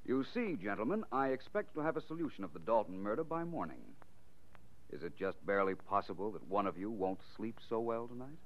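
A man's voice: fairly low pitch, lively intonation, a little fast, close to an everyday voice. A man playing a suave, self-assured American private detective in a 1940s radio drama, speaking in a polished, formal, wry way. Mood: smoothly needling. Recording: muffled, noisy 1940s radio recording.

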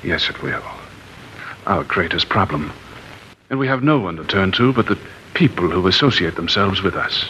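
A man's voice: low voice, lively intonation, normal speed, sharp tone, a little hiss or hum. An actor playing a ruthless, scheming male cult leader in a 1950s radio drama, grandiose when he preaches and threatening when crossed. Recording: dull lo-fi 1950s radio broadcast.

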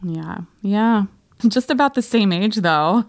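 A woman's voice: mid-range voice, animated, slow pace, crowd noise. A woman co-hosting a podcast in a casual, chatty book club style, talking and reading aloud from listener letters. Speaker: podcast co-host.

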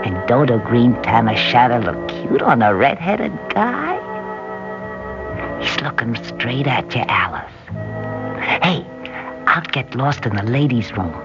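Swooping, breezy wisecracking young sister. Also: female voice, extremely low pitch, heavily acted. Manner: slangy, teasing, exclamatory. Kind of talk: 1960s radio drama acting. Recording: dull vintage radio recording, faint music.

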